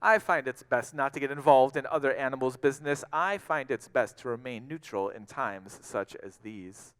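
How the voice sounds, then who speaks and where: A man's voice: medium pitch, normal speed, fairly bright tone, clean tone, lightly acted. A man voicing an aloof gazelle in a storybook tale, mild and self-justifying, in an echoing hall. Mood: evasive, detached. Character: aloof gazelle who avoids getting involved. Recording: long echoing hall reverb.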